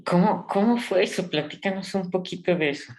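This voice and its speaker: A woman's voice: fairly low pitch, a little fast, darkish tone, rough recording. A woman hosting an interview, reacting with short, enthusiastic interjections. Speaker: host.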